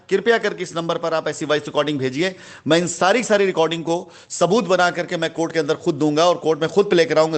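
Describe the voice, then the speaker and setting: A man speaks in a moderately high voice. He addresses customers like a company head making a formal announcement. His delivery is indignant and emphatic, with phrases repeated for stress. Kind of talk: voice note announcement, speech-like monologue.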